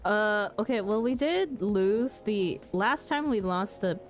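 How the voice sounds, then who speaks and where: A woman's voice: mid-range voice, lively intonation, takes it slowly, soft background music. A woman streamer in casual chat while gaming, laid-back, laughing often and swearing freely.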